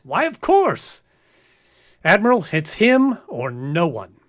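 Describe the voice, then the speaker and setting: A man acts out a by-the-book space captain in a comic radio play. His voice sits fairly high and swings widely. He stammers and frets in theatrical exasperation as he schemes. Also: wildly swooping pitch, slow pace, heavily acted. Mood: eager.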